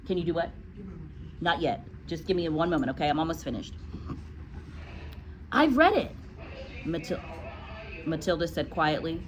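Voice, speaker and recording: A woman's voice: deep voice, steady tone, a little fast, long pauses, slight background noise, poor audio. A woman teacher reading a storybook aloud to a class, with explanatory asides.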